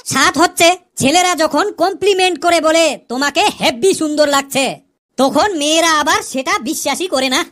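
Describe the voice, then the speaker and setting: Woman voicing a high-pitched cheeky cartoon schoolboy. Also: normal speed, fairly bright tone. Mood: mock-earnest. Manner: mock-earnest explaining, addressing teacher respectfully. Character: cheeky male pupil Kalu, joking about wives and women. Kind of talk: comedy cartoon dialogue.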